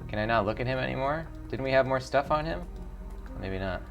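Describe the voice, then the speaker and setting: A man's voice: medium pitch, animated, a little fast, vocal fry, a bit bright, lo-fi sound, soft background music. A man streaming a video game, switching between casual commentary and reading the narration aloud.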